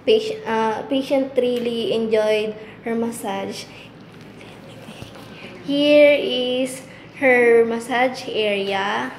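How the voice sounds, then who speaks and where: Slow-talking Filipino woman, high echoey voice. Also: darkish tone. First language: Tagalog. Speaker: vlogger giving a tour. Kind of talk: casual walk-through commentary. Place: tiled rooms and corridors of a small gym, indoors. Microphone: handheld phone behind the camera. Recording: echoey indoor rooms, clear background noise.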